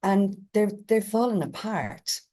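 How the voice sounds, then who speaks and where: A woman explaining as a guest in a casual talk, steady, sometimes playing out quoted voices. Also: mid-range voice, a little slow. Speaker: guest astrologer.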